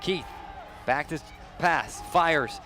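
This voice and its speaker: High, dynamic male football play-by-play over crowd noise. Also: drawn-out words. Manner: clipped short phrases. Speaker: play-by-play sports announcer. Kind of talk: live American football commentary. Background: crowd noise and music.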